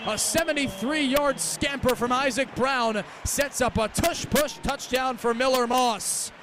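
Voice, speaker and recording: A young man calling American football live on the radio as a play-by-play announcer, with crisp, detailed delivery. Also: extremely high pitch, measured pace. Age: young adult. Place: stadium game broadcast. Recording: live outdoor broadcast with background noise.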